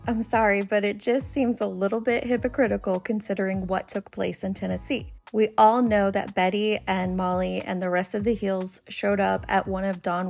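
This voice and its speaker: A woman with a noticeably creaky voice and mid-range pitch talks casually to her audience as a commentary host. Music plays behind her. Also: a little mellow. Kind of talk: casual spoken commentary to viewers.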